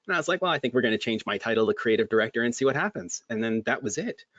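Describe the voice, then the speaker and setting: A man's voice: medium pitch, expressive, very fast, fairly bright tone, no echo, dull audio. A man speaking as a guest in a webinar, talking casually about his career, with many restarts and fillers. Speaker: guest, MSP creative director.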